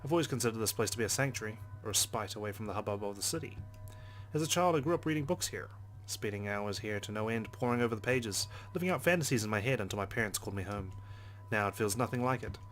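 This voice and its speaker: A man with a fairly low voice hosts a let's play, reading a visual novel's narration aloud. His delivery is dramatic, with brief casual asides. Game music plays behind him. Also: lively intonation, fast, a bit bright. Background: game background music.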